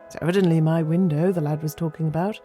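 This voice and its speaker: A woman voicing a fussy, puzzled scholarly gentleman in a storybook, prim and hesitant in manner. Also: low voice, fairly even intonation, fast talker, faint music behind, natural voice. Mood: concerned.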